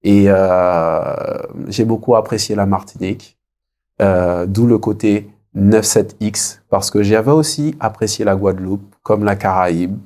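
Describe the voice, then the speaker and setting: Low, mellow-voiced man in his thirties. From French Guiana. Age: 30s. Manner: hesitant, reflective, pausing often. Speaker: interview guest. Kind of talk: conversational interview answers.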